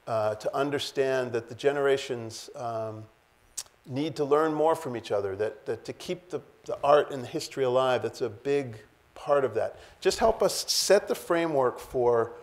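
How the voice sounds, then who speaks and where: A middle-aged man moderating a panel on an auditorium stage, friendly and halting with many fillers, on a lapel mic. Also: mid-range voice.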